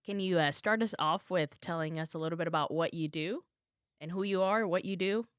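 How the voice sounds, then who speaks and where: Woman host asking short questions, lowish voice, dry close sound. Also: a little fast, no echo. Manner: brief questions, encouraging replies. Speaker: podcast host. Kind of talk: interview questions.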